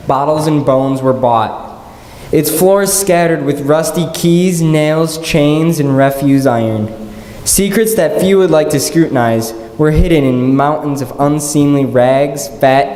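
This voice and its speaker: A man announcing and narrating a live radio play in a measured, dramatic delivery. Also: a little high. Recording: echoey hall, loud music behind.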